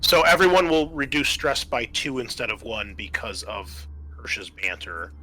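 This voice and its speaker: A man running a tabletop roleplaying game as game master, explaining rules and narrating with halting pauses mid-sentence. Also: mid-range voice, pushed and bright, a little hiss or hum, poor audio.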